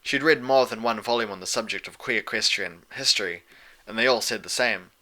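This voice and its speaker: Very bright-voiced man narrating a story. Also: fairly low pitch, brisk, poor audio. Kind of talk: scripted fan fiction narration.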